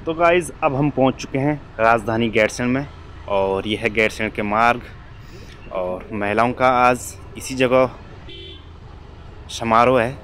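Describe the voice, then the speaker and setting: A young man talks to his viewers as he rides a motorbike through mountain roads. His voice sits at an ordinary middle pitch. Engine and traffic noise run clearly under it. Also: a little slow, pauses often, a bit bright. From Uttarakhand, India. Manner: chatty, addresses viewers as guys. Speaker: motovlogger. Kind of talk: casual vlog narration while riding. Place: outdoors on a motorbike on hill roads. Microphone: helmet or body camera mic. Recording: noisy outdoor motorbike recording.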